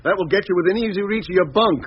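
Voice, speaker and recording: A man acting as a calm, reassuring ship's doctor, measured and instructive, in a 1950s radio drama. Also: high voice, husky. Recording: muffled, hissy 1950s radio recording.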